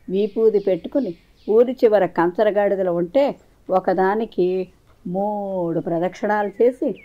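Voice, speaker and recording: A woman's voice: medium pitch, lo-fi sound. A woman storyteller reading scripted moral folk tales as a narrator.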